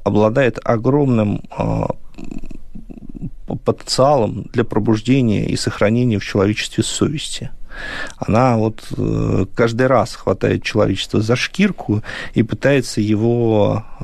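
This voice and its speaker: A man's voice: on the low side, gravelly, darkish tone, no echo. A man talking on a radio show, thoughtful and a little hesitant. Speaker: radio show guest host. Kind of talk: radio talk.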